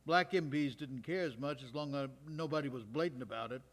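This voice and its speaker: A man's voice: medium pitch, fairly quick, natural voice. An actor playing a Georgia World War II veteran, telling his memories in a folksy, wry, conversational way on stage. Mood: reminiscing, wry. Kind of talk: live stage storytelling monologue, conversational. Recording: live stage recording in recreation hall.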